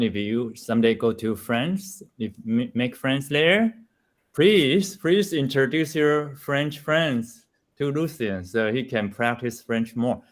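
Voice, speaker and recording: A man hosting a meditation center meeting, calling on people in turn to introduce themselves. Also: mid-range voice. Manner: friendly, laughs often, calls on each speaker. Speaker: meeting host. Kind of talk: moderating introductions.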